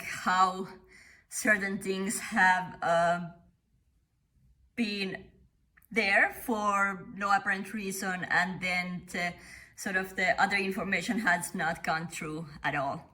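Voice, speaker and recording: A middle-aged woman from Finland speaks with a Finnish accent, her voice bright and clear. She talks in an interview in a measured, thoughtful way, stopping often on short "uh" pauses to find her words. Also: medium pitch, steady tone. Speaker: company founder. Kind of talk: interview monologue.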